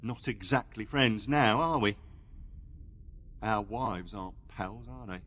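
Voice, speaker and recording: A man's voice: fairly low pitch, slow pace, a bit bright. A man playing a bitter, sarcastic captive tied to a chair in a radio play, rambling and needling with rhetorical questions, with noise behind him. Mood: subdued, wry.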